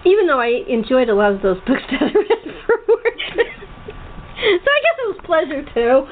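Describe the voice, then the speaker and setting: A woman with a fairly high voice hosts a relaxed, chatty interview. She rambles along with a giggly manner. The sound is thin and phone-like, with noticeable noise behind her. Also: moderate pace. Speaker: talk show host. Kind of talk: casual interview chat. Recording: tinny phone line with clear background noise.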